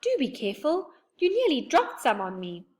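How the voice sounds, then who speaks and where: A woman's voice: high voice, wildly swooping pitch, normal speed, pitched above the performer's own voice. A woman reading aloud and voicing a timid, careful little girl in a storybook. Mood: scolding.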